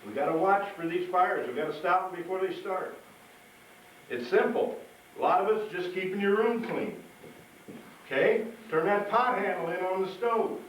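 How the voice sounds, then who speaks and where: A man's voice: high-pitched, normal speed, fairly bright tone. A man hosting a fire safety show for children as a firefighter, chatty, folksy and plain-spoken, repeating himself and putting questions to the audience. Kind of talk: live presentation to kids, chatty and folksy. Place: live show at a fire station. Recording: echoey, distant mic, noisy live show.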